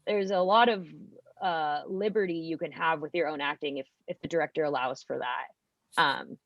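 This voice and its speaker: A young adult podcast guest chatting casually, rambling with lots of "like" and restarts. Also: female voice, sits low, normal speed, no echo. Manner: rambling, heavy use of "like". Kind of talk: casual chat. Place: college radio studio. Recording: dull lo-fi dry sound.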